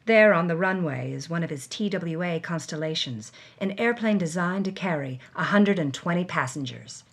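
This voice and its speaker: A wry, animated noir heroine. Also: female voice, on the low side, normal speed. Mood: wry, tense. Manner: wry, dramatic, emphatic. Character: hard-bitten, wry, world-weary woman reading her journal. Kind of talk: scripted first-person noir narration, audio drama.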